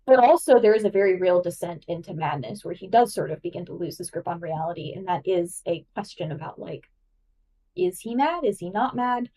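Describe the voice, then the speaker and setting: Chatty woman podcaster, distant mic. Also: fairly low pitch. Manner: fast, filler-heavy, excitable. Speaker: podcast co-host. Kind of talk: enthusiastic explaining in casual chat.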